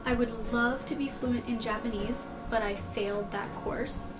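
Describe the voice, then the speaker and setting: A woman's voice: a little high, fairly even intonation, unhurried. A young American woman from California chatting casually through questions in a vlog, informal and self-deprecating, rambling as she answers. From California, United States. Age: young adult. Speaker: video blogger answering tag questions. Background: background music.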